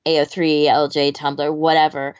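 A woman's voice: low-pitched, flat delivery, moderate pace, dull audio. A woman co-hosting a casual podcast discussion, thinking aloud in a tentative, hedging way. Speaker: podcast co-host.

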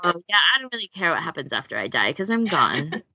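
Low voiced lady just chatting on a podcast, kinda blunt, dull lo-fi audio. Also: sing-song, quick pace, pushed and bright, slightly rough, dry recording. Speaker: podcast host. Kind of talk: casual chat.

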